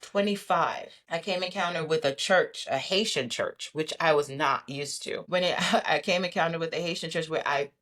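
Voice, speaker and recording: A woman, giving an animated, emphatic testimony as a podcast guest and often repeating herself for stress. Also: sits low, normal speed, hardly any pauses. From Brooklyn, New York.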